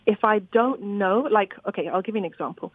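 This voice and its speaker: A woman's voice: medium pitch, fairly quick. A woman novelist who grew up in Zimbabwe, talking animatedly about her books in an interview, often restarting her sentences. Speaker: novelist guest. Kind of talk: interview conversation. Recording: dry, phone-like line.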